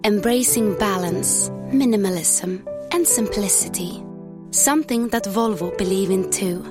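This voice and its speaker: Calm female ad voice, measured read over music. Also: mid-range voice. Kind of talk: scripted advertisement.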